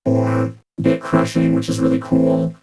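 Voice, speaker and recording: A man's voice: measured pace, over music. A man speaking through a vocoder as a friendly synthesizer robot, with a synthetic, chord-toned voice in a casual demo. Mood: admiring, demonstrative. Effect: vocoder robot filter.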